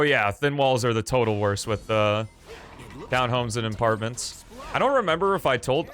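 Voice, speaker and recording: Young man, streamer, loud voice, rambling casual chat, middle pitch, faint background music. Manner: loud, rambling and joking, lots of fillers. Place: small room with foam above.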